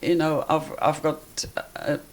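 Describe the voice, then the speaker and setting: Slow, elderly Polish-accented woman. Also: low-pitched, level tone, rough recording. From Poland. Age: 80s or older. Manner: halting, deliberate, searching for words. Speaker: interviewee, life-story witness. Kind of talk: personal reminiscence in an interview.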